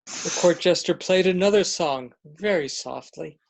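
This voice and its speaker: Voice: mid-range voice, a little slow, gravelly, poor audio. A narrator reading scripted story narration in a readers theater.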